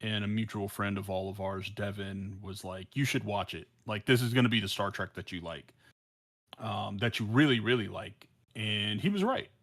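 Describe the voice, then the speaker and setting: Low-voiced man in rambling podcast chat. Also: normal speed, fairly bright tone. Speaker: podcast panelist. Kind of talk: casual fan chat.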